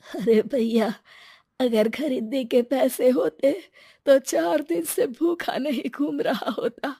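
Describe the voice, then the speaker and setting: A woman voicing a frail, starving poor man in an animated story, speaking slowly and humbly. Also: high voice, gravelly, slightly rough, dry recording, studio quality, exaggerated voice. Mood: weary, humble.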